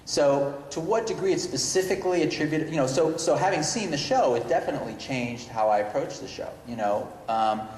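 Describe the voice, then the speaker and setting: A middle-aged man speaking off the cuff as a writer guest on a panel, halting and often correcting himself mid-thought. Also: fairly high pitch, a little mellow. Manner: halting, rambling, self-correcting. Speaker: screenwriter guest at convention Q&A. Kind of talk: unscripted panel talk. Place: large indoor convention panel room. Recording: echoey reverberant hall, noticeable noise.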